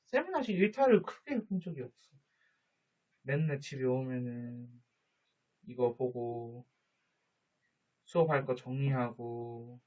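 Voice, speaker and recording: A man teaching a high school social studies lesson, chatty, with jokey asides and quick questions to his students. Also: lively intonation. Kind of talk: online lecture to students. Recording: dull lo-fi recording.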